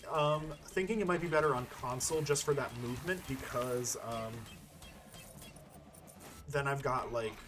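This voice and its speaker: A man's voice: medium pitch, moderate pace, pauses often, noisy background. A man giving casual gameplay commentary as a playthrough host, rambling and thinking aloud as he goes. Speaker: video game playthrough host.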